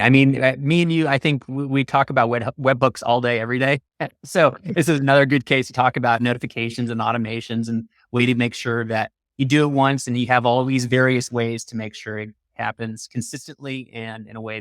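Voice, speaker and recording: A man's voice: medium pitch, fairly even intonation, fairly quick, fairly bright tone, dry recording, studio quality. A man hosting an interview podcast, talking in a wordy, enthusiastic way. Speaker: podcast host. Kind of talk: upbeat hosted interview talk.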